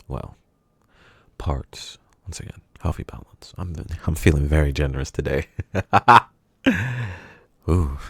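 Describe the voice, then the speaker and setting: A man as a very deep, smug kidnapper. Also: vocal fry, warm tone, slightly rough, no room sound, full character voice. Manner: slow, teasing, drifting into whispers. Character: menacing, smug male kidnapper. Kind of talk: ASMR roleplay.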